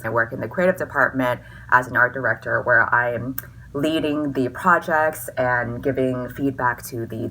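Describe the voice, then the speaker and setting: A woman's voice: very deep voice, pushed and bright, slight background noise, low-quality recording. A woman in her twenties, a little tipsy, chatting in a sarcastic, swearing, mock-dramatic way as a vlogger answering viewer questions. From US West Coast. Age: 20s. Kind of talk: casual tipsy Q&A chat.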